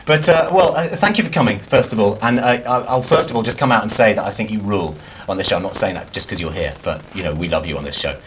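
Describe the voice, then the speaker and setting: A young man hosting a live TV music show, chatting loosely with many restarts and quick laughs. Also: lowish voice, slight background noise. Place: indoor TV studio set styled as a cafe.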